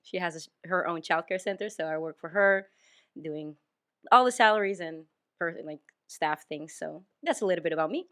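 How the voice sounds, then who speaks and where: A woman's voice: on the low side, moderate pace, a bit bright. A woman of twenty-eight hosting a casual group talk show, upbeat and enthusiastic. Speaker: main host.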